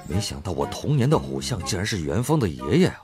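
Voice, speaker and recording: An actor playing a comic ancient Chinese magistrate, theatrical and grand yet easily excited, swinging from solemn to silly. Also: male voice, deep voice, quick pace. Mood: starstruck. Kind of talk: comic radio play acting. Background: music behind the voice.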